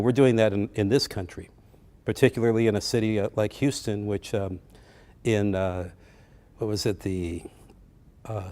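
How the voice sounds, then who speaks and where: A man explains his views thoughtfully in a documentary interview. His voice is low and mellow, with a relaxed, warm tone.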